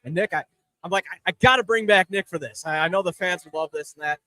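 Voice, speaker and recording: A man's voice: high-pitched, fast, sharp tone. A man hosting a sports podcast, moving between promos and introductions.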